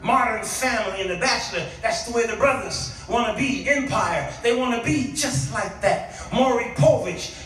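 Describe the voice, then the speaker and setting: Male preacher, high and bright voice, dramatic repeated punchlines, call-and-response delivery, recorded in a big echoey church. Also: moderate pace, few pauses, slight background noise. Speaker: guest preacher. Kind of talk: animated sermon with repetition and call-and-response. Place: church sanctuary before a responding congregation. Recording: very echoey hall, distant microphone.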